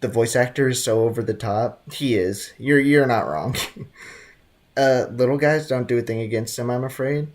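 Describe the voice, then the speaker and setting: A man's voice: mid-range voice, normal speed, a little mellow. A young adult man giving casual, slangy live gameplay commentary with jokey asides. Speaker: game streamer. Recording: game music behind.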